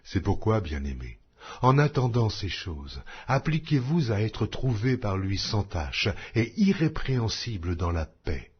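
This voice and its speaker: A man reads scripture in a solemn, measured voice that sits on the low side. His pitch rises and falls a lot from line to line, and his tone is husky. The recording sounds muffled and lo-fi. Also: sing-song, a little hiss or hum. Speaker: Bible reader. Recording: dull lo-fi audio.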